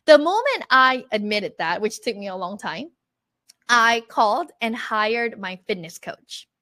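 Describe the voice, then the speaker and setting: A woman's voice: a little high, lively intonation, measured pace, sharp tone. A woman in her thirties teaching an online language masterclass in an encouraging, motivational way, acting out her own past words as she goes.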